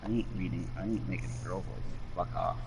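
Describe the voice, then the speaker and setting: A man's voice: low-pitched, steady tone, fast talker, a little mellow. A man in casual voice chat, mocking and insulting his friends and giggling. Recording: dull lo-fi mic with faint hiss.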